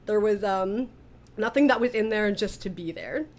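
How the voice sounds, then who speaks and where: A woman's voice: mid-range voice, normal speed, darkish tone. A woman hosting a casual interview, chatty and giggly as she talks as a writer. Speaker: writer, director and interview host. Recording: faint background noise.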